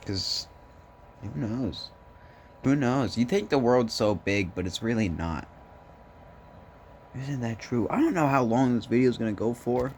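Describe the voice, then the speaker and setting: A young man with a voice hoarse from smoking, rambling and joking in a laid-back way into his phone. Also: mid-range voice, animated, measured pace, long pauses, darkish tone, slight background noise. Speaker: vlogger. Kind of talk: rambling casual vlog chat.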